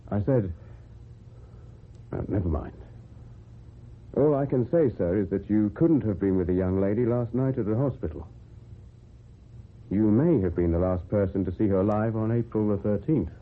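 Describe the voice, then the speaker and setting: An actor playing a polite, matter-of-fact police constable in a radio drama, formal and stiffly official. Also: male voice, lowish voice, husky. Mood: awkward, firm. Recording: muffled, tinny old radio recording.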